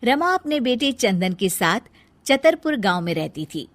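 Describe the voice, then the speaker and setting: A woman's voice: a little high, sing-song, a little slow. A woman narrating a scripted children's story as a storyteller.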